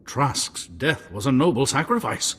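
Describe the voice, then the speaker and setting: An actor playing a pompous, apologetic nobleman in a video game, speaking formally and haltingly. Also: mid-range voice, sing-song, fairly bright tone, slightly rough, exaggerated voice. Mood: solemn, pleading. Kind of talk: video game cutscene acting.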